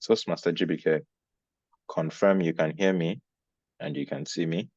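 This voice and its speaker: A man's voice: low-pitched, steady tone, a little mellow, no room sound. A man formally and carefully hosting an online contest. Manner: formal, measured. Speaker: contest chair. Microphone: video call. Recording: dry, dull video call audio.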